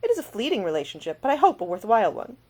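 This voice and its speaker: A woman plays a young lady of twenty-three in an audiobook. She is prim, brisk and curious, speaking in precise, formal sentences. Her pitch moves with a lot of animation. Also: huge pitch swings, a little mellow, husky, heavily acted. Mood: earnest. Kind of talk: audiobook character dialogue.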